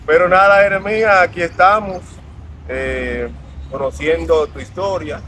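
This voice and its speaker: A man's voice: a little high, unhurried, bright, forceful tone. Earnest man telling his story. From Dominican Republic. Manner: earnest, reflective. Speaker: interview guest. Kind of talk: personal storytelling in an interview. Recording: outdoor traffic noise.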